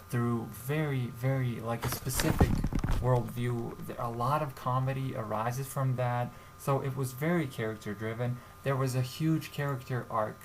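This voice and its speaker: A young man from Serbia talks through a casual commentary vlog on a home microphone. His mid-pitched voice has a gravelly edge, and he speaks haltingly, with many fillers and restarts. A faint hiss of noise sits under the recording. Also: low-quality recording. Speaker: YouTube channel host.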